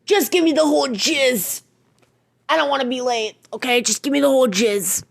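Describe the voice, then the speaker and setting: Woman playing a high, creaky-voiced impatient president. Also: normal speed, a little hiss or hum. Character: impatient, pleading male American president.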